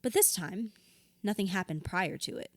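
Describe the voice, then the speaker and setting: A woman's voice: medium pitch, expressive, moderate pace, no room sound, close to an everyday voice. A woman acting in an audio drama as a grieving widow in therapy, wounded and candid, reflective with a wry edge. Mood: pained, hesitant.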